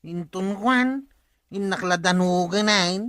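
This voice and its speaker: A man's voice: high voice, takes it slowly, a bit bright, clean tone, no room sound, clean studio sound. A man with an Ilocano accent playing a nagging, impatient village creditor in a comedy drama. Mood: pleading.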